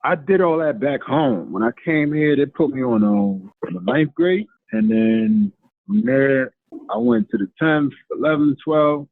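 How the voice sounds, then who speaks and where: A man's voice: a little high, very animated, measured pace, gravelly, no room sound. A man from Honduras, earnestly answering interview questions and repeating words as he starts. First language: Spanish. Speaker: guest, hip-hop artist. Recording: tinny phone-like line, dry.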